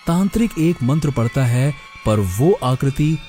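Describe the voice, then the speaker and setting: A man's voice: mid-range voice, animated, measured pace, mellow. A man giving dramatic scripted narration of a horror story. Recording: prominent music under the narration.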